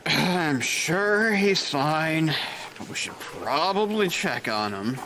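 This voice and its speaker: A man's voice: a little high, slow. A man playing a jaded, darkly wry nurse supervisor. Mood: wry grim. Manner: dry gallows humor, calm. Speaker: charge nurse. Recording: noisy background.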